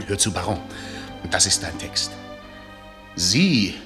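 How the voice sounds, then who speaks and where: Low-voiced, highly animated theatre director. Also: male voice, takes it slowly, faint music behind, full character voice. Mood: brisk, pleased. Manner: theatrical, swings between muttering asides and barked orders. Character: middle-aged theatre director and playwright, bossy, impatient, wry. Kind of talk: German radio drama acting.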